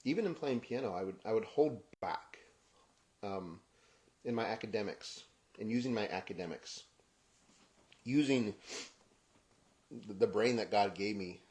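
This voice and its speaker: Brief: man raised in Kenya, low voice, reflective solo talk, halting restarts, soft trailing endings. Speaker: podcast host. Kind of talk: personal reflective monologue.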